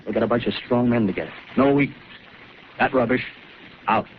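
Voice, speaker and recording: An actor playing a rough, scheming soldier who talks in rambling, feverish working-class slang, in a 1930s live radio drama over a tinny broadcast with hiss. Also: male voice, medium pitch, a little fast, natural voice.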